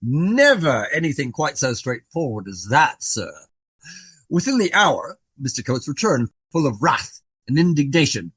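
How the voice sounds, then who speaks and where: Florid, garrulous Regency gentleman, wildly expressive. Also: medium pitch, a little slow, husky, no echo, full character voice. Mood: animated storytelling. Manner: long-winded, florid, genteel formal phrasing. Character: portly, garrulous, self-important Regency gentleman. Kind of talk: radio play dialogue, storytelling.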